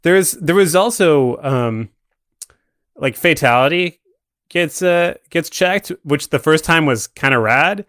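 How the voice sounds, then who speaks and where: A man speaking as a podcast guest, discussing films casually in a measured, analytical way. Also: fairly high pitch, animated, a bit bright, no room sound, clean studio sound.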